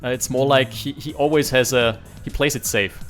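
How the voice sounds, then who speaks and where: A man calls a real-time strategy match with quick, lively reactions and teasing jokes, his voice at a typical middle pitch. Faint music runs underneath. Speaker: esports caster. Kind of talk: casual game commentary.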